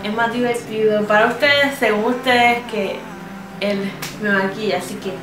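A woman's voice: mid-range voice, steady tone, moderate pace. A young woman in her twenties chatting casually with viewers in a beauty vlog, laughing often. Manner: chatty, giggly, speaks to viewers. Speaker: beauty vlogger. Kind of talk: casual chat. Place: small indoor room against a plain wall. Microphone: far camera. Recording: roomy, distant, music behind.

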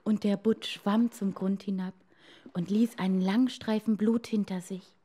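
A woman storyteller reading a fairy tale for bedtime in an expressive, dramatic way. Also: mid-range voice, moderate pace, husky. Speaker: storyteller, podcast host.

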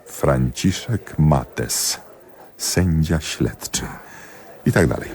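An actor in a radio drama playing a jealous husband and magistrate, confiding ruefully and emphatically to a friend. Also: male voice, rumbling low, expressive, very slow, creaky, a little mellow, exaggerated voice. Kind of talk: theatrical radio drama monologue.

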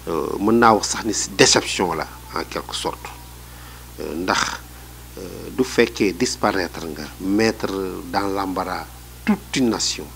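A middle-aged Senegalese man answering a street interview indoors, measured and hesitant with many fillers. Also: low voice, creaky, fairly bright tone, slight background noise. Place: indoors in a small room.

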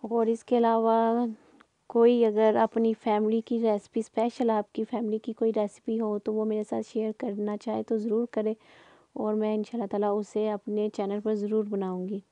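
A woman's voice: on the high side, monotone, normal speed, mellow, no room sound. A middle-aged woman, giving a casual, rambling voice-over as a home cooking vlogger. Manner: conversational, rambling.

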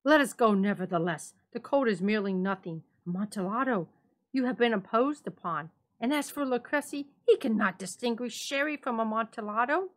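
Woman voicing a loud, tipsy, boastful nobleman. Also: a little high, lively intonation, moderate pace, lightly acted. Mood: dismissive. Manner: emphatic, exclaiming. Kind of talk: dramatic storybook reading.

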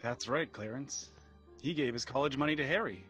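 An actor playing a calm, knowing storyteller in a radio drama, measured and explanatory. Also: male voice, medium pitch, faint music behind, lightly acted. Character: calm, knowing storyteller guiding a listener through a life story.

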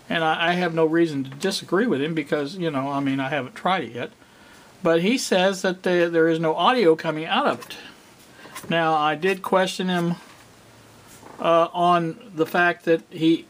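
A middle-aged man giving a casual, unscripted demonstration, halting and rambling as he thinks aloud. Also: on the high side. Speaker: hobbyist presenter. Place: indoor home workspace.

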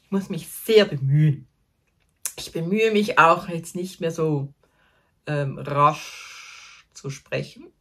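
Woman in her fifties, Swiss German accent, husky and a touch gravelly, lowish voice, chatty home knitting vlog. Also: takes it slowly, long pauses, fairly bright tone. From Switzerland. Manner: chatty, self-deprecating, restarts and fillers, laughs at herself. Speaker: knitting vlogger. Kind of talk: casual chat to camera. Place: small craft corner at home.

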